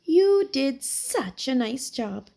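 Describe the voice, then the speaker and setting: A woman voicing a frail, kindly old lady of ninety-two with a feeble, high-pitched, gushing voice. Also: sing-song, gravelly, warm tone, full character voice. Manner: chirpy, slow and gushing.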